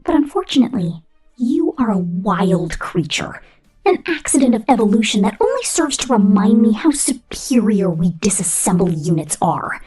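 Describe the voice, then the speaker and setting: Casting: female performer as menacing female robot corporate supervisor, ASMR roleplay. Heavily breathy and whispery, highly animated intonation, cold contempt, slow theatrical threats. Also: faint music behind, poor audio. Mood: scornful.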